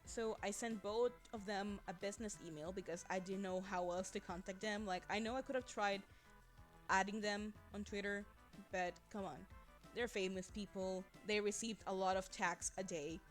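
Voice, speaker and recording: A woman's voice: medium pitch, fairly even intonation, moderate pace. Young woman streamer chatting over faint music. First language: Spanish. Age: young adult. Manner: chatty, rambling, laughs often. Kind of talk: casual livestream chat. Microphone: desk microphone.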